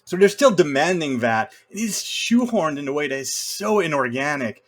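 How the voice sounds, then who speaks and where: A man's voice: fairly high pitch, normal speed. Blunt man griping in casual film talk. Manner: blunt, animated griping with mild swearing. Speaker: podcast co-host.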